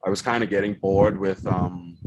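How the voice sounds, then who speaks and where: A man from the Bahamas with a very deep voice, whose first language is German, talks loosely about his work as a yacht engineer. He pads his answers with ums and you-knows. The video call line carries a clear hiss of noise. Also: moderate pace. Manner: relaxed, rambling with many ums and you-knows. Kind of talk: casual interview answers.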